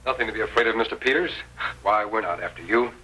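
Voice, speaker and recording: A steady, commanding Western lawman in vintage radio style. He speaks quickly in a bright, forceful American voice, earnest and upright, over faint recording noise. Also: fairly low pitch, low-quality recording. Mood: reassuring, brisk. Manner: crisp, earnest, authoritative. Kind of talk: old-time Western radio drama acting.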